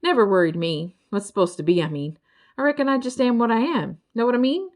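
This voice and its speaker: An easygoing storybook critter, part bird and part turtle, drawls in a rural Southern US accent, acted out by a woman reader. The delivery is folksy and contented, and the pitch moves about in a very animated way. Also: brisk, lightly acted. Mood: laid-back, folksy. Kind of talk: children's storybook character voice.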